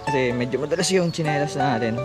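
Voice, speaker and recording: A man's voice: medium pitch, quick pace. Casual joking guy on a trail, action-cam mic, heavy music bed. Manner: playful, teasing, swears casually. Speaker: vlog companion on a hike. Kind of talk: casual joking banter with friends. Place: outdoors on a forest trail by a river. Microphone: action camera mic. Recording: outdoor action-cam audio with loud music.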